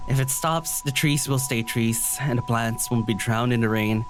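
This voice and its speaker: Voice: medium pitch, moderate pace, music in the background, lightly acted. An actor playing an anxious, withdrawn young diviner in an audio drama, hesitant in dialogue and lyrical in narration. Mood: brooding, lyrical.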